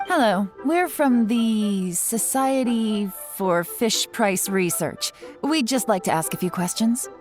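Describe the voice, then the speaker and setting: A woman playing a sly, self-assured undercover agent in a video game, smooth-talking, composed and teasing. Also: medium pitch, animated, slow pace, darkish tone. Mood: politely persuasive. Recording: background music under the voice.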